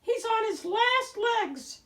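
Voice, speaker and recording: A woman voicing a cheeky young squirrel in a picture book, squeaky and taunting. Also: expressive, slow pace, a bit bright, heavily acted. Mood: mocking.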